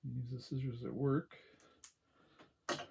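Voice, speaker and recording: A quiet-voiced man giving a casual, unhurried hands-on tutorial, talking along as he works, with a gravelly voice. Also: medium pitch, level tone, background noise. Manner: unhurried, talks along while working. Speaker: craft tutorial host.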